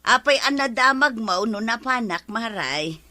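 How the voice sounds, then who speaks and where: A woman plays an indignant, gossipy Ilocano villager in a comedy drama. Her voice is bright and fairly high, with an Ilocano accent. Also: animated, measured pace.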